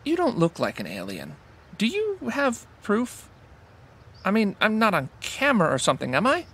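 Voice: mid-range voice, huge pitch swings, normal speed, fairly bright tone, husky, exaggerated voice. An actor playing an earnest, awestruck captain of an amateur sci-fi fan crew in an audio drama. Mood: flustered, amazed.

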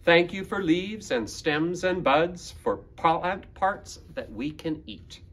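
A man in his fifties reading a picture book aloud to children in a deliberate manner. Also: a little high, lively intonation, fairly bright tone. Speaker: school librarian, guest reader. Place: school library room, indoors.

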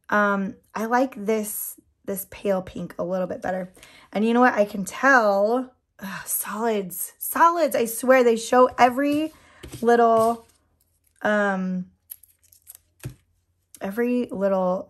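A woman chatting casually while doing her nails, her voice a bit worn from losing it, rambling with frequent ums and little laughs. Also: medium pitch. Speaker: nail product seller and channel host. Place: indoors at a tabletop.

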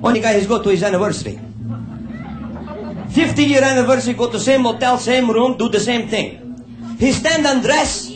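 A man's voice: very high-pitched, moderate pace, pauses often. A man telling jokes live on stage in broken English, laughing through the punchlines and switching voices for the characters. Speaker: stand-up comedian. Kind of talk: live joke telling in dialect humor. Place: live stage before an audience. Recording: echoey room, crowd and noise.

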